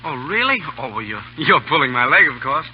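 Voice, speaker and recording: An actor in an old radio drama playing an eager, polite, naive young lieutenant, earnest and deferential. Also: male voice, mid-range voice, sing-song, fairly quick, a little hiss or hum, natural voice. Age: young adult. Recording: muffled, humming old radio broadcast.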